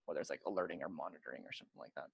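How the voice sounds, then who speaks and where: A man's voice: a bass voice, very fast, vocal fry, husky, dry recording, lo-fi sound. A man on a panel chatting very informally, with lots of filler "like" in his advice. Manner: informal, peppers speech with "like". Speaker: marketing panelist. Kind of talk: casual chat.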